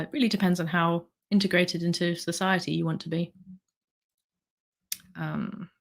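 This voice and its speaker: A low-pitched woman from the UK with a slightly sore throat, hosting a relaxed livestream. She rambles warmly through viewer comments, full of ums and asides. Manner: chatty, rambling with fillers. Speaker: livestream host. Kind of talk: casual livestream chat reading comments.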